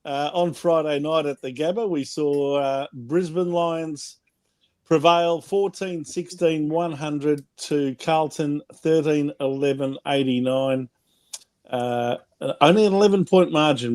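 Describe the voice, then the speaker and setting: An Australian man on a casual panel show over a video call, friendly and quick to laugh while going through the scores. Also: a little high, darkish tone.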